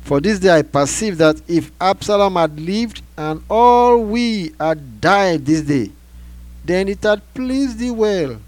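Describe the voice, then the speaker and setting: A man voicing a stern army commander in a scripture reading, scolding his king in loud, forceful declamation. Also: high voice, animated, slow pace, no room sound, pitched above the performer's own voice. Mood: angry rebuke.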